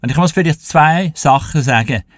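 A man's voice: a little high, moderate pace, fairly bright tone, dry recording, clean studio sound. An elderly Swiss German man in his eighties, a radio guest telling anecdotes from his life in a chatty, storytelling way. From Switzerland. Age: 80s. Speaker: radio show guest and music presenter. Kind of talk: casual interview storytelling.

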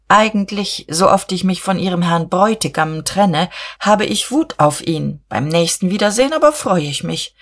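Storybook figure: pompous, easily flustered bourgeois gentleman read by a woman, emphatic, formal, moralizing. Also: lowish voice, expressive, normal speed, few pauses. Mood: grudging admiration.

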